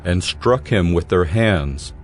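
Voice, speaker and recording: A man's voice: sits low, lively intonation, takes it slowly, music in the background. A man narrating scripture from a script as a solemn dramatized audio Bible storyteller.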